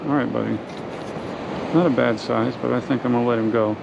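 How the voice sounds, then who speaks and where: Gravelly man narrating a fishing trip. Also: medium pitch, a little fast. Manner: relaxed, talking to himself while fishing. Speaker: fishing vlogger. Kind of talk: casual first-person commentary. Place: outdoors on an ocean fishing pier. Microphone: action camera worn on the body. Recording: muffled action-camera audio with wind and surf.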